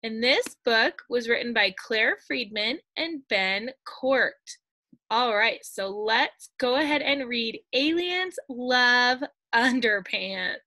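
A middle-aged woman reading a picture book aloud to young children like a teacher, expressive and sing-song, drawing out the ends of lines. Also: fairly high pitch, no room sound. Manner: expressive, sing-song storytelling with drawn-out line endings. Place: indoors at a computer, screen-share video.

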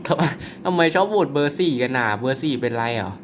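A man's voice: mid-range voice, lively intonation, fast talker, noisy background. A man giving casual commentary on his mobile game, playful and jokey, tossing out mock-polite apologies and frequent exclamations. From Thailand. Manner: exclaims and laughs often. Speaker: mobile game player and channel host.

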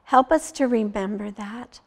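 Slow-paced older woman reading to children. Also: fairly high pitch, vocal fry. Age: 60s. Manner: slow, deliberate, with frequent pauses between short phrases. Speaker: children's story time host and reader. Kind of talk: reading a children's Bible story aloud, leading prayer. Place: small furnished indoor room, seated.

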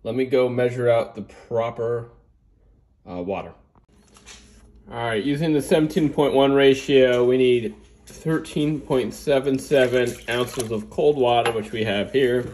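A man's voice: mid-range voice, measured pace, a little mellow, slight background noise. A man, casually reviewing coffee in his home kitchen and rambling as he works, recorded on a phone camera. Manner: rambling, restarts often, talks while working.

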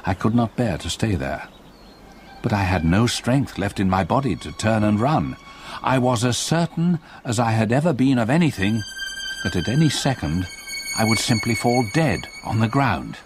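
An actor playing an older English solicitor, gravely narrating a haunting memory in a radio play. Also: male voice, fairly low pitch, expressive, noisy background, close to an everyday voice. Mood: haunted, dread. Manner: grave, measured storytelling. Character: haunted old English solicitor recalling past terror.

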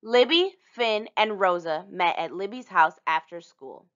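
A woman reading a children's picture book aloud in an expressive storytelling manner. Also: medium pitch, unhurried, lo-fi sound. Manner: animated, storytelling delivery for young children.

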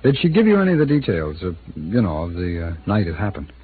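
A man's voice: low voice, wildly swooping pitch, fast talker. An actor playing a guarded, evasive male city attorney who hesitates and hints, in a 1950s radio drama. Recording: tinny lo-fi old radio broadcast with hiss.

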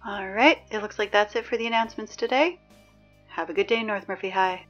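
A woman playing a sarcastic, exasperated high school announcer in a scripted audio drama, dry and emphatic in delivery. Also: a little fast, fairly bright tone, muffled recording, faint music behind, lightly acted. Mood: dry, composed. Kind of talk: scripted fiction podcast, PA announcements.

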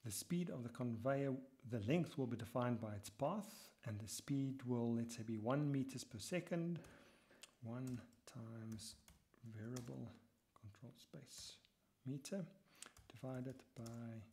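A man talking through a software tutorial step by step as he works, muttering some lines to himself, with keyboard typing behind him. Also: medium pitch, slow pace, slight background noise. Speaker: lecturer.

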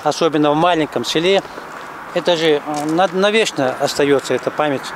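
A man's voice: fairly high pitch, brisk. A middle-aged man in a mountain village talking plainly to an interviewer, going back over memories and repeating himself as he tells them. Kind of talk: casual outdoor interview. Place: outdoors in a mountain village by a road. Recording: outdoor noise, passing traffic.

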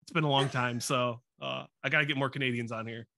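Wisconsin-accented male host, bright forward tone, dry close desk mic. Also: medium pitch, steady tone, fast, creaky, no room sound. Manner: friendly, rambling, self-deprecating. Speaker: podcast host. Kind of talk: casual interview chat. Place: home studio with comic shelves. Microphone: desk podcast mic.

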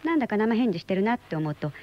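An actress as a fast, teasing woman. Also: medium pitch, sing-song, close to an everyday voice. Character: sharp-eyed, teasing woman needling her partner. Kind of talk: radio drama dialogue. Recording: dull lo-fi old radio recording.

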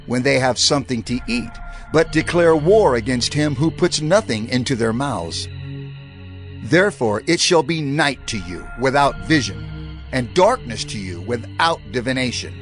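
A male audio Bible narrator reads in a solemn, dramatized style. His delivery is declamatory, with a middling pitch that moves in lively swings. Faint music plays behind him. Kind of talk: dramatized scripture reading, solemn oratory.

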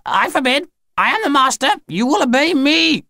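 A man's voice: extremely high pitch, very animated, normal speed, gravelly, sharp tone, no echo, clean studio sound, pitched above the performer's own voice, full character voice. A man voicing an alien machine that poses as a stern old lady, with stilted, imperious, oddly phrased speech, in an audiobook. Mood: imperious, scolding. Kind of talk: audiobook character voice.

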